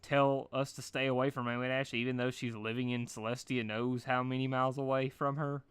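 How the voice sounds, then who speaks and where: Guy reading as a cynical snarky pony girl alter ego, mid pitched, cartoon fanfic. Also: little pitch movement, brisk, fairly bright tone, clean tone, no echo, lightly acted. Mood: dismissive.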